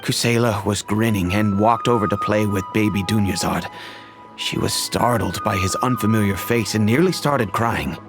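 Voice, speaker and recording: An actor playing a dignified, wealthy older father and head of a noble family, speaking formally and gravely in a video game. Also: fairly low pitch, normal speed, over music, lightly acted. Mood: reflective storytelling. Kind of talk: scripted video game dialogue.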